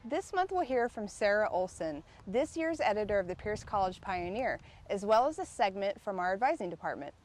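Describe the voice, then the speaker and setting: A woman's voice: medium pitch, very animated, fairly quick, few pauses. A woman hosting a TV magazine show, reading a scripted introduction. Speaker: TV show host.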